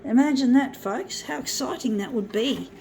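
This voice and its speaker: A woman giving a rambling, earnest personal monologue, halting and repeating herself as she addresses her listeners. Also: fairly high pitch, creaky, darkish tone. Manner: halting, repetitive, often addressing listeners as folks. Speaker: presenter of a personal video. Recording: noisy amateur recording with background ambience.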